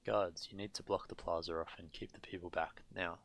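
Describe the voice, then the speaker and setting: Dark fantasy game hero, weary grim man, low gravelly voice, terse and grave. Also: steady tone, fairly quick. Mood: grim, urgent. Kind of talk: dramatic video game voice acting.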